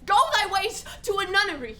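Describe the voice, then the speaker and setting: A woman's voice: extremely high pitch, expressive, a little fast, pushed and bright, full character voice. A woman acting a bitter, mocking, volatile young prince in a Shakespearean radio play. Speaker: actor playing Hamlet.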